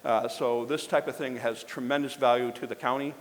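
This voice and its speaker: A man presenting at a public forum and introducing the next speaker, talking haltingly with many fillers and restarts. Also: medium pitch, steady tone, in a large hall.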